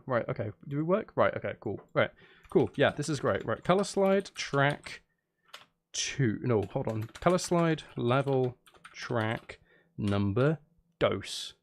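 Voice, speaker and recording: Guy streaming, casual rambly chat, bouncy pitch, bit of vocal fry, playful, repeats himself. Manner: playful, rambling, self-interrupting, often repeating phrases. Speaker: live streamer, music and game maker. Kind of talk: casual livestream chat with viewers while working.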